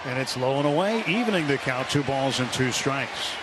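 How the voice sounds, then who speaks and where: A man calling a baseball game on the radio, building to shouts on big plays. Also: medium pitch, moderate pace. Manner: calls big plays with rising, shouted excitement. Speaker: play-by-play announcer. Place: broadcast booth at a ballpark. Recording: radio broadcast with loud crowd noise.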